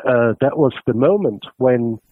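A man's voice: mid-range voice, animated, measured pace, husky, dry recording. A man from Germany, a guest on a radio interview, explaining at length with frequent hesitations and restarts. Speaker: expert guest. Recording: tinny dry phone line.

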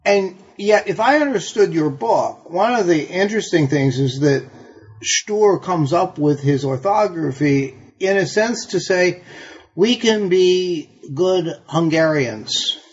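A man with a slightly high voice acts as interviewer in an academic conversation. He is thoughtful and tentative, phrasing things in a roundabout way. The audio is somewhat dull and lo-fi. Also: animated, slow pace, husky. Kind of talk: reflective academic interview questioning.

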